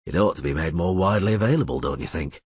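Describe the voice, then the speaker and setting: A gruff, wry witcher, as a man reading aloud voices him, pitched even lower than the narration. The tone is husky and deadpan, recorded close and dry. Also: quick pace, dry recording. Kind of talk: audiobook reading.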